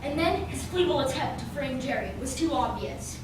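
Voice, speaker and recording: A woman acting as a calm, confident male police detective in an amateur radio drama. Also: sits high, normal speed. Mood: assured. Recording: very echoey hall, distant mic, clear noise.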